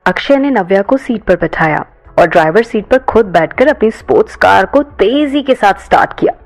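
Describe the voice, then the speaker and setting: A woman's voice: medium pitch, expressive, moderate pace, soft background music. A woman reading a scripted audio story as a dramatic narrator.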